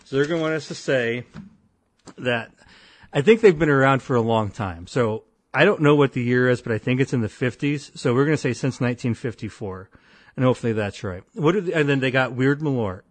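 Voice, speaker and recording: A deep-voiced man co-hosting a podcast, telling a rambling story full of restarts and quoted voices. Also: a little fast, dry recording. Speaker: podcast co-host. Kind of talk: casual podcast banter.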